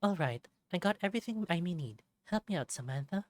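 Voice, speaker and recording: A narrator voicing a gentle, caring mother fox healer in a visual novel, soft, tender and serious. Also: mid-range voice, expressive, a little fast, no room sound, pitched above the performer's own voice, natural voice.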